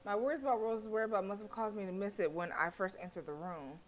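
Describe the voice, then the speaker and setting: A woman's voice: medium pitch, fairly even intonation, quick pace, slight background noise. A woman streamer reading a horror visual novel aloud, breaking off into joking, swearing asides. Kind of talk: reading game text aloud with asides to chat.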